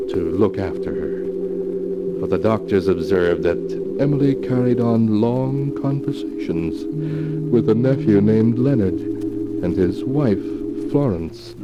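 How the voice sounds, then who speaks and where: A deep, sinister horror storyteller. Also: male voice. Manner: measured, ominous, theatrical. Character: sinister, chuckling keeper of dark tales. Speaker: horror show host and narrator. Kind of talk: old-time radio horror storytelling. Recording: muffled, very noisy old radio recording.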